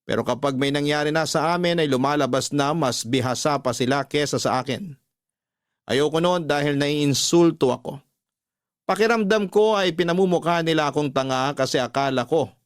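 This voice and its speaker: An actor playing a brash womanizer confessing his affairs in first-person radio drama narration, telling it deliberately and without apology. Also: on the high side, no room sound, clean studio sound, natural voice. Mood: unapologetic, disdainful.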